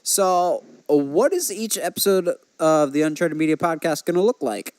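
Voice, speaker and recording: A man's voice: on the high side, expressive, moderate pace, darkish tone, no room sound. Casual male podcast host, close dry sound.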